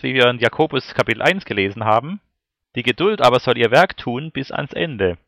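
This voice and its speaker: A man preaching a sermon, addressing his listeners formally and in a measured way as he reads out and explains passages. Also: mid-range voice, creaky, fairly bright tone, dry recording, clean studio sound. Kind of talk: sermon with scripture and verse readings.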